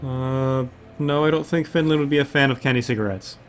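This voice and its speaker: A man from the United States, streaming a game and chatting casually, relaxed and often laughing. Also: mid-range voice, brisk, soft background music. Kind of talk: casual gameplay stream chat.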